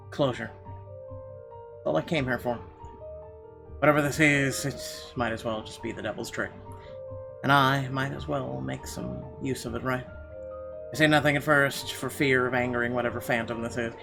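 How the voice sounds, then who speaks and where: A man's voice: mid-range voice, steady tone, moderate pace, gravelly, pauses often. A man hosting a gaming video, reading visual novel narration aloud and adding his own comments. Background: game music.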